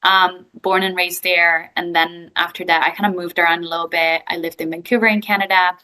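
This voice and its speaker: A woman's voice: fairly low pitch, level tone, a little fast, sharp tone, rough recording. A young woman with an Italian accent, answering interview questions in a chatty way with frequent fillers. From Italy. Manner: chatty, frequent ums and likes. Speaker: guest, growth equity investor.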